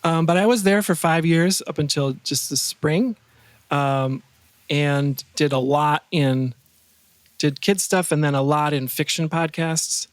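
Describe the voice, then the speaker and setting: Middle-aged man, higher-pitched, halting casual talk. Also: clean tone, no echo. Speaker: podcast guest, composer and sound designer. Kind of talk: casual interview chat.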